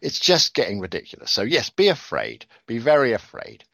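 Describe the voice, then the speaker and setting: A man comments on the news with a dry, sardonic edge, tripping over words and circling back as he reads. His pitch rises and falls a lot as he talks. The sound is dry and close, with no room echo. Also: wildly swooping pitch, brisk, creaky, bright, forceful tone. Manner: sarcastic, rambling, stumbles over words and restarts. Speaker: podcast host reading news. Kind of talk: casual commentary on news articles.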